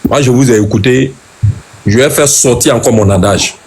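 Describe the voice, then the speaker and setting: A man moderating a live online debate, speaking in a measured way with frequent hesitations. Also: mid-range voice, darkish tone. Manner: hesitant, measured, many fillers.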